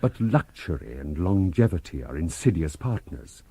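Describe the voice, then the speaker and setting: An urbane, theatrical elderly scientist, wildly varied pitch. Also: male voice, big pitch swings, mellow, breathy edge, no echo, heavily acted. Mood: grave, eloquent. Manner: formal, measured, theatrical. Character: genial, urbane, very old spacefaring scientist, eloquent and commanding. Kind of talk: radio drama acting.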